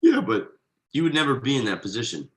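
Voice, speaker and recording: A man in a script table read playing a defensive, evasive husband and father, conversational and a little halting. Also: mid-range voice, wildly swooping pitch, low-quality recording. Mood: defensive, exasperated. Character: defensive, evasive middle-class husband and father writing ad copy.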